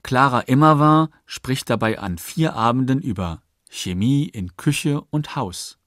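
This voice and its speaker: A man reading scripted documentary narration in a factual, measured manner. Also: mid-range voice, dry recording, studio quality.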